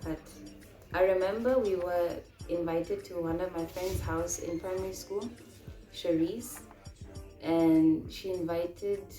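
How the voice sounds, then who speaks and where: Chatty young woman in an echoey room. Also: on the low side. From Namibia. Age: 20s. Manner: chatty, animated storytelling with many fillers. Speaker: vlogger. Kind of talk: casual vlog Q&A.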